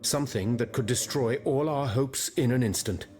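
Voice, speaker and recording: A man as an earnest gentleman chemist, mid-pitched. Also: moderate pace, lightly acted. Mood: grave, earnest. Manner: formal, articulate, earnest. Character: earnest, courteous French gentleman chemist, learned and grave. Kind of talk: video game dialogue acting.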